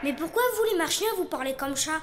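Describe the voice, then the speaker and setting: A woman voicing an excited, chatty small boy in a space cartoon. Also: sits high, quick pace, reverberant, shouting. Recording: cartoon dialogue with music behind.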